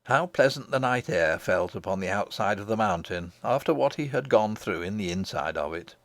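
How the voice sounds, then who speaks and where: A man narrating a children's fantasy audiobook in an expressive, scripted storybook style. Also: fairly low pitch, normal speed. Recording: voice over prominent background music. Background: music.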